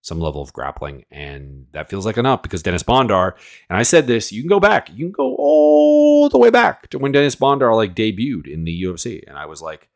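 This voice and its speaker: Deep-voiced sniffly man talking fights. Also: sing-song, a little fast, vocal fry. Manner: rambling, filler-heavy, opinionated. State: sniffly from a cold. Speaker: MMA analyst host. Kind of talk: casual fight predictions monologue.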